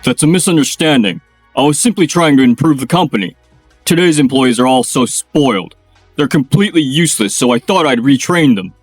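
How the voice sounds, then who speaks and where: An actor playing an arrogant, bossy middle-aged company president in a manga dub. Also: male voice, mid-range voice, expressive, fairly quick, husky, no echo, soft background music. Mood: defensive. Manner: bossy, domineering.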